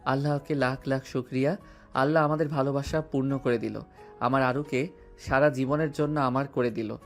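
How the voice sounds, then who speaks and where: A man acting a tender, earnest lover in a romantic audio drama, with soft music behind. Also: mid-range voice, fairly even intonation, moderate pace. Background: soft background music.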